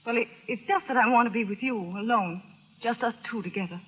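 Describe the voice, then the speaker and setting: A woman's voice: fairly high pitch, brisk, breathy edge. An actress playing a devoted, nervous society wife in 1930s radio drama style, eager and faltering as she pleads. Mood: pleading, tender. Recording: dull, muffled old radio broadcast.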